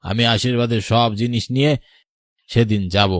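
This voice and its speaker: Man acting, earnest solemn villager, mid-pitched voice, close dry recording, cartoon story. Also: steady tone, normal speed, dry recording, close to an everyday voice.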